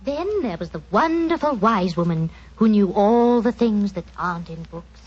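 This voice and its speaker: A woman's voice: medium pitch, moderate pace, breathy edge. An actress playing a wistful British woman narrating childhood memories expressively in 1940s radio drama style. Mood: nostalgic. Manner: expressive, storytelling. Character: wistful, warm Englishwoman recalling her childhood. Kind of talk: 1940s radio acting, first-person narration. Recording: muffled 1940s radio recording.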